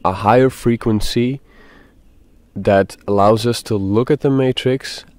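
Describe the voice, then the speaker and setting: A man leading a guided meditation, speaking in a deliberate, halting way with pauses. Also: medium pitch, darkish tone, no echo.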